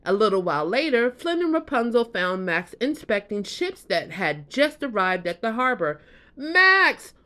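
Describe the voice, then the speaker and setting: A woman reading a children's bedtime story aloud as an expressive storyteller. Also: mid-range voice, moderate pace, hardly any pauses.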